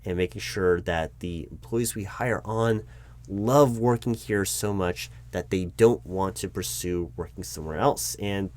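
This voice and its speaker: A man playing a frank, exasperated corporate recruiting manager in a one-sided audio roleplay, explaining things haltingly and correcting himself as he goes. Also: lowish voice, close to an everyday voice.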